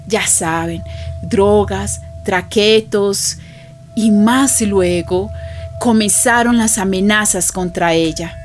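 An actress playing an affectionate, confiding neighbour who narrates a radio drama, colloquial, with a Colombian accent. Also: female voice, medium pitch, slow pace, music in the background, natural voice. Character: affectionate gossipy woman neighbour narrating her friend's life.

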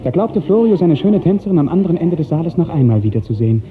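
A man narrating a literary 1950s radio drama in a measured, precise storytelling manner. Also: fairly high pitch, big pitch swings, a crowd in the background. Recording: narrow-band 1950s radio recording, faint music.

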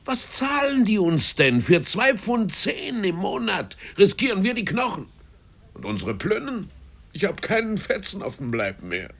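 Surly agitator seaman, radio drama; aggrieved, swooping delivery with a gravelly edge. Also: sits high, sing-song, unhurried, a bit bright, heavily acted. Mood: indignant. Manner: indignant, aggrieved, rhetorical questions. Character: surly, grumbling, scrounging sailor, male agitator. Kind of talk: German radio drama acting.

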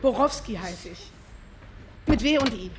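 A woman's voice: on the high side, lively intonation, unhurried, noisy background. An actress playing a brash, scatterbrained woman giving a statement in casual, disjointed slang in a radio drama. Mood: indignant, offhand. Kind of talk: naturalistic radio drama testimony.

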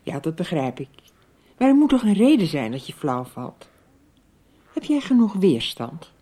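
A woman playing a concerned, loving but firm mother in a radio soap, gentle and earnest. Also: fairly low pitch, big pitch swings, normal speed.

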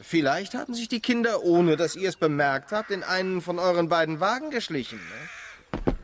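An actor playing a shifty, blustering but cowardly thug in a children's radio play. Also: male voice, high-pitched, sing-song, normal speed, a bit bright, slight background noise. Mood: shifty, pushy.

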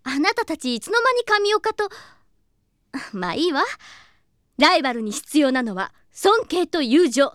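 A woman's voice: high-pitched, big pitch swings, measured pace, a bit bright, no room sound, clean studio sound, heavily acted. A woman playing a proud rich teenage girl in an anime audio drama, imperious, with refined ladylike diction. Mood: haughty.

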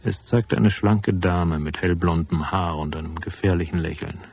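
An actor playing a tough, cynical private detective in a noir radio drama, speaking in a laconic, unsentimental manner. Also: male voice, deep voice, steady tone, moderate pace, vocal fry, a little mellow, breathy edge, faint music behind, full character voice. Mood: brooding, matter-of-fact. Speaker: narrator and lead character.

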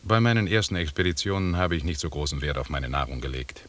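Pompöser Expeditionsleiter, sehr tiefe Stimme. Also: male voice, rumbling low, expressive, quick pace, a bit bright. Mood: grandly formal. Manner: pompous, lecturing. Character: self-assured, pompous English expedition leader, a knighted gentleman. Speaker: actor in a radio drama. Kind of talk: formal radio drama dialogue.

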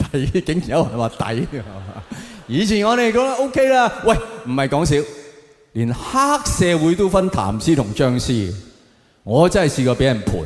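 Animated, high-pitched Hong Kong Cantonese comedian, male. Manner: theatrical, exaggerated storytelling with drawn-out punchlines. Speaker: stand-up comedian. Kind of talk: stand-up comedy monologue to an audience. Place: large live performance venue. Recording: echoey hall with long reverb, faint noise.

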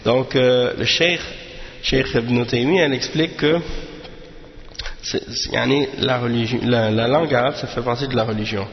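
A man of average pitch gives an informal religious lesson, speaking hesitantly and often stopping to restart a sentence. The recording is dull and lo-fi, with a long reverb that makes the room sound large and echoey. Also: moderate pace. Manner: hesitant, with many restarts and Arabic fillers. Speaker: religious teacher.

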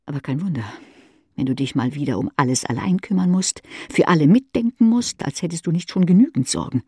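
A woman narrates an audiobook in a husky, breathy voice. The sound is dry and close, and her dramatic delivery moves between murmurs and sharper emphasis. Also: low-pitched, animated, a little fast, a little mellow, no room sound. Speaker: audiobook reader. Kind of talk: scripted audiobook narration in second person.

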